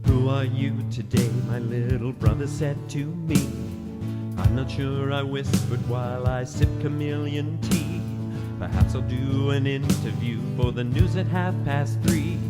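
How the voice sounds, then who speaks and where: A woman's voice: extremely low pitch, measured pace. A woman singing a storytelling country song over guitar. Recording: loud guitar-led music around voice. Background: loud country guitar music.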